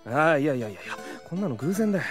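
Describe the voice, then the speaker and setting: A woman voicing a hopeful, easily flustered teenage boy in a casual, expressive audio drama style. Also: deep voice, very fast, soft background music. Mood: uneasy. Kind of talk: scripted Japanese anime-style audio drama acting.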